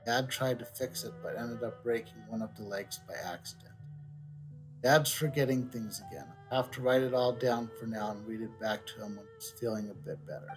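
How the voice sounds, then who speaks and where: A man's voice: mid-range voice, brisk, poor audio. A male game streamer with a mid-range voice reads text aloud as he plays. Faint game music runs behind him. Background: soft game music.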